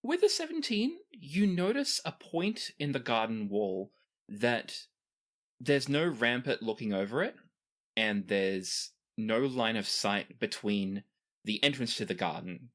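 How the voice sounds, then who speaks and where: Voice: medium pitch, animated, takes it slowly, a bit bright. A game master improvising narration for a tabletop game in a conversational, descriptive way.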